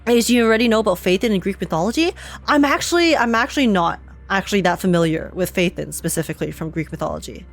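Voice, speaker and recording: A woman reacts live to a video game as a streamer. Her voice sits at an ordinary female pitch, and she rambles as she thinks aloud, with game music behind her. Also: lively intonation, fast.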